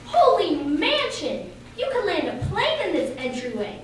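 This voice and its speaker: A woman's voice: very high-pitched, huge pitch swings, unhurried, full character voice. A woman playing a brash, gushing young party guest in a radio play, recorded in an echoey room. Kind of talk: amateur radio play acting. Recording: very echoey room, distant mic, noisy.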